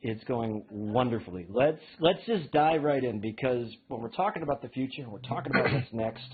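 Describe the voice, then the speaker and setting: A man's voice: mid-range voice, expressive, brisk, noisy background. A man hosting a live lecture, introducing the guest and steering with upbeat questions. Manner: upbeat, leads questions with restarts. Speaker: host, moderator. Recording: tinny phone-like line.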